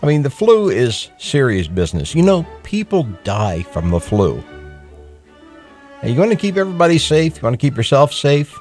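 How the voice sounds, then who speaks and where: A man's voice: fairly high pitch, moderate pace, soft background music. A middle-aged man hosting a talk radio show, speaking bluntly with some mild cursing and plenty of fillers and restarts. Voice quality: blunt, mildly profane, conversational. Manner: lively, hectoring, with frequent fillers and restarts. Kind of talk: call-in talk radio monologue. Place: radio studio. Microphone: studio microphone.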